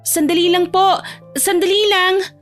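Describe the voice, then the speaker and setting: A woman's voice: very high voice, fairly even intonation, measured pace, a bit bright, soft background music, voice pushed up. A woman voicing a shy, hesitant young provincial woman in an audio romance drama, polite and unsure. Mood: urgent. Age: young adult.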